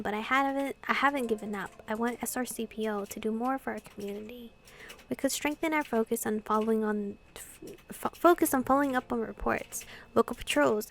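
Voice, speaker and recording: A woman voicing an earnest, soft-hearted young policewoman in a visual novel, hesitant and heartfelt. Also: fairly high pitch, brisk, a little hiss or hum, close to an everyday voice. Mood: earnest, downcast.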